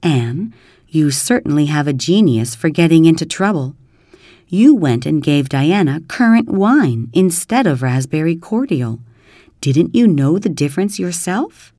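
A woman voicing a stern, no-nonsense older spinster who scolds briskly. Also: low voice, big pitch swings, dark tone, dry recording, clean studio sound, exaggerated voice. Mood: exasperated.

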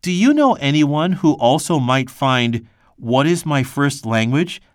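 A man reading a textbook passage aloud in a measured, scripted way. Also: mid-range voice, animated, no room sound, studio quality. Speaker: textbook reader.

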